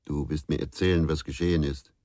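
An actor playing a troubled, reluctant police investigator in a radio crime drama, gruff, terse and husky. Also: male voice, fairly even intonation, brisk, mellow. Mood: reluctant, uneasy. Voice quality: very deep, husky.

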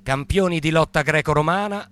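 An actor playing a grim announcer of a riot police battalion, solemn and declamatory in radio theatre. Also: male voice, fairly high pitch, a little fast, fairly bright tone, lightly acted. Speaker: actor in a stage reading.

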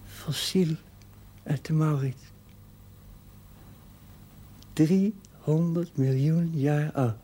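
A gravely ill man, still under forty, in a television drama. He speaks very slowly in short, halting phrases. The voice is husky and mellow, tender and resigned to his end. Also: fairly high pitch.

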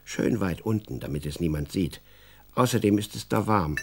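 A man playing an expressive storyteller in a children's radio drama, narrating in a deliberate, dramatic way. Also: low-pitched, a little hiss or hum. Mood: wry, deliberate. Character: expressive male storyteller who also talks with the boy.

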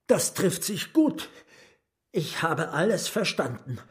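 Radio drama lead: tormented, bitter writer, deliberate pacing, extreme melodic swings. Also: male voice, a little high, big pitch swings. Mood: dawning understanding. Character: tormented, brooding writer, bitter yet fervent. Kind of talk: radio play acting.

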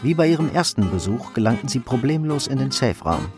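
A man's voice: mid-range voice, sing-song, fast talker, over music, close to an everyday voice. A man narrating a crime radio drama in a sober, matter-of-fact literary storytelling manner.

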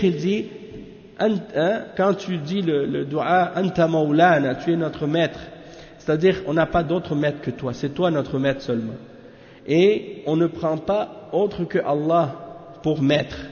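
A man teaches in a relaxed, familiar way, often turning to his listeners with quick asides. His voice sits a little high and sounds mellow. The recording carries a long room echo and a dull, lo-fi quality. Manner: casual, familiar talk with frequent "hein?" asides. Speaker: religious teacher. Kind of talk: lesson explaining a commentary.

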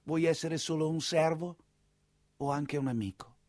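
A man playing an earnest, high-pitched young nobleman. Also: moderate pace, darkish tone, dry recording, studio quality, natural voice. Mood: lofty, earnest. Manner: formal, polished speech. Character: earnest idealistic young Milanese count, noble and lofty.

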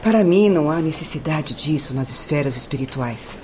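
Uneasy, low-voiced doubting lady. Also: animated, moderate pace, dark tone. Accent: Brazilian. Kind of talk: Brazilian radio drama acting. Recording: clear background noise.

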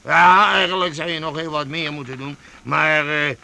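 Hearty, bright-voiced vagrant band leader. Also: male voice, fairly high pitch, gravelly, heavily acted. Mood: hearty, commanding. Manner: hearty, bossy, halting with interjections. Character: rough, jovial male leader of medieval vagrant band. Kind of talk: Dutch radio drama acting.

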